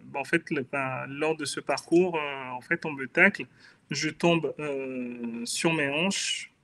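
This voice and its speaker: A man's voice: a little high, takes it slowly, sharp tone. A man from Benin with a Beninese accent, telling his own life story in an earnest, reflective way as a guest in a casual interview. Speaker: guest, author.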